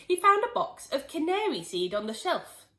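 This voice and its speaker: A woman teacher reads a children's storybook aloud with great animation. Her fairly high voice swoops up and down, leaning into the funny parts of the story. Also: normal speed. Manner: expressive, animated reading. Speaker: teacher reading a children's book.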